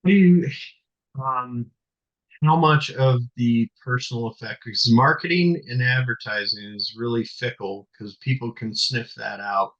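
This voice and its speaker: A man's voice: medium pitch, unhurried, a bit bright. A middle-aged man hosting an interview, asking long, winding questions with plenty of fillers. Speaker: podcast host. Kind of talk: conversational interview. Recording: dull lo-fi sound.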